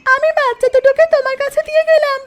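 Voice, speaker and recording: A woman's voice: very high voice, level tone, fast. A woman voicing a kind-hearted mother duck in a cartoon, with an earnest, coaxing manner. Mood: friendly. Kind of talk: cartoon voice acting.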